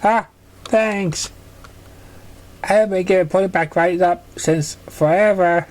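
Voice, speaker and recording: Man putting on a plaintive little girl pony, high-pitched. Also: slow pace, darkish tone. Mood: cheered up. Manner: plaintive, childish. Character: sad little girl pony, childlike and hurt.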